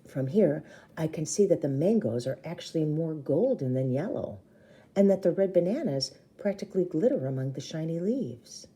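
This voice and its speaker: Female read-aloud storyteller, teacherly and emphatic, very warm dark timbre, on the lower side. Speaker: teacher reading a novel aloud to children. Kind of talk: expressive storybook read-aloud with brief explanations.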